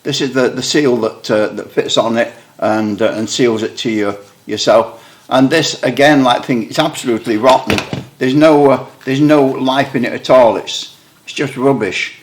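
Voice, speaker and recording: British bloke rambling on about his radio gear, wry and self-deprecating, middle-pitched voice. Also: normal speed. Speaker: radio hobbyist video maker. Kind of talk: casual show-and-tell explanation.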